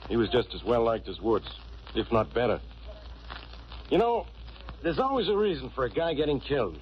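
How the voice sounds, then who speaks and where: An actor playing a gruff, sarcastic, suspicious police inspector in 1940s radio drama style. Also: male voice, mid-range voice, moderate pace, lightly acted. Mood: blustering, musing. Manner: blustering, sarcastic. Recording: muffled old radio recording, steady hiss.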